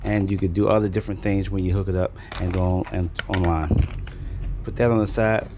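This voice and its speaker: A man's voice: deep voice, fairly even intonation, fairly quick, background noise. A man giving a casual, folksy product walkthrough as a reviewer in an unboxing video.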